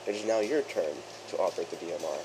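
A man playing a courteous chief engineer who presents a training film in formal, measured, instructional narration. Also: low voice, background noise. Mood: encouraging. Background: music behind the voice.

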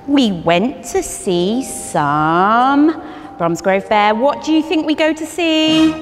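A woman reading a picture book to little children in a bouncy sing-song, her pitch swinging high and low as she lingers over each word. She sounds a little above the usual, gasping for effect. The voice carries a long reverb, with music playing clearly underneath. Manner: sing-song, animated, dramatic gasps, talks to a bear mascot. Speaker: early years teacher reading a picture book. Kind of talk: children's story time read-aloud. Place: studio with a green-screen backdrop, seated on a sofa.

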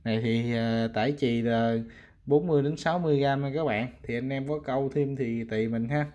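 A man's voice: medium pitch, a little fast, mellow, muffled recording. A man with a Southern Vietnamese accent casually showing goods for sale, talking informally to viewers as friends. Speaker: fishing rod seller presenting products. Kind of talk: casual product showcase.

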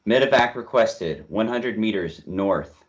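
A man's voice: lowish voice, animated, a little slow. A man in his thirties demonstrating an app and making radio-style calls over a video call. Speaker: demonstrator playing field operator. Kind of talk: practical demo and radio calls. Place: indoor room on video call. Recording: dull video call audio.

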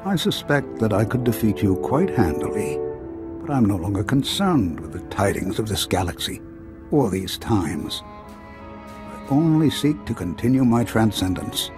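A proud, transcendent Jedi master in a video game. His low voice moves widely in pitch as he speaks with formal, measured calm. Music plays clearly behind him. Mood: serene, resolute.

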